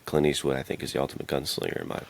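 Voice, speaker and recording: A man's voice: extremely low pitch, monotone, rapid-fire, vocal fry. A man co-hosting a podcast, chatting casually in laid-back, slangy fan talk. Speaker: podcast co-host. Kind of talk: casual chat about films and games.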